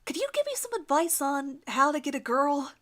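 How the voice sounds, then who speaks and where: A woman voicing a big, cheerful, oblivious, lovesick teenage boy in a dramatic fan fiction reading, guileless and excitable. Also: sits high, moderate pace. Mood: cheerful, excited.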